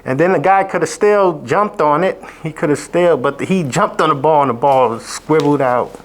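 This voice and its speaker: A hoarse man, sick, hosting a casual college football betting recap, rambling with many fillers and restarts. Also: fairly high pitch, moderate pace, rough recording. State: hoarse from illness. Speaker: sports betting show host. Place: abroad, indoors.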